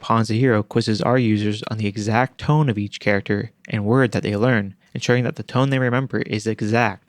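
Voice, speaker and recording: A young adult man talks through lessons in a calm, explanatory way, like a scripted tutorial read close to the mic. His voice sits at an ordinary middle pitch. The recording is dry, with no room sound. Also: no echo. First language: English. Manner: calm, explanatory, reflective on personal mistakes. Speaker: language learning video host. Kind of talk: scripted educational narration.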